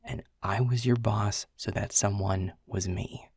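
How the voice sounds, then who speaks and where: A man's voice: fairly low pitch, fairly even intonation, measured pace, vocal fry, a little mellow, husky, no echo, studio quality, full character voice. A man playing a world-weary male middle manager who is blunt and swears, confessing in a half-whisper. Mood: frustrated. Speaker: boss. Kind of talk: audio roleplay acting.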